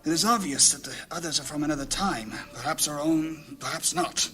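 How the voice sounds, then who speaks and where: An actor playing a solemn, commanding lord in a fantasy audio drama, formal and portentous in delivery. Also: male voice, on the high side, fairly quick, bright, forceful tone, faint music behind. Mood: solemn wonder. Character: solemn, commanding lord, observant ghostly time traveller.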